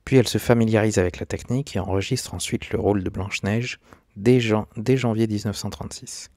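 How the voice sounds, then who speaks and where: Low-voiced man narrating. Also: steady tone, brisk, darkish tone, dry recording, studio quality. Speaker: video essayist, host. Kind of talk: scripted documentary-style narration.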